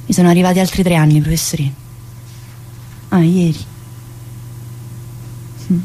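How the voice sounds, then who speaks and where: A woman's voice: fairly low pitch, fairly even intonation, normal speed, mellow, slight background noise, lightly acted. An actress playing a restless, needy, brash woman prisoner in a theatrical monologue, speaking colloquial, dialect-laced Italian with a southern accent. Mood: bitter, defiant. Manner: colloquial, dialect-laced, addressing an unseen teacher.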